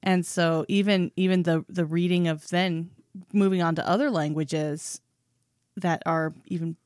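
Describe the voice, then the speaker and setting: A woman with a lowish voice co-hosts a podcast, and her words come out thoughtful and a little rambling. The recording is dry and close, with no room sound. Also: steady tone, unhurried, darkish tone, dry recording. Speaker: podcast co-host. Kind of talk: casual conversation.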